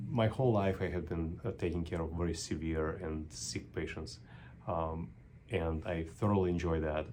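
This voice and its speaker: A man's voice: sits low, a little mellow, a little hiss or hum. A middle-aged man with a Russian accent, a neurologist talking to camera in a thoughtful, unscripted way with frequent ums and restarts.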